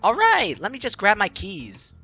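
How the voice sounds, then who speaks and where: A man's voice: high-pitched, huge pitch swings, normal speed, bright, forceful tone, highs cut off. A young man playfully reading a visual novel aloud and commenting on it as a let's play host, with game music behind him. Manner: playful, theatrical, jokey reactions.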